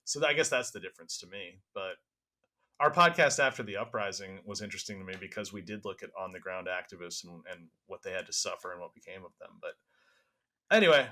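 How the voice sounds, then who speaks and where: A man's voice: low voice, animated, fast talker, bright, forceful tone. A man co-hosting a podcast, talking casually and earnestly as he argues and explains his point. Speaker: podcast co-host. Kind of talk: casual conversational debate.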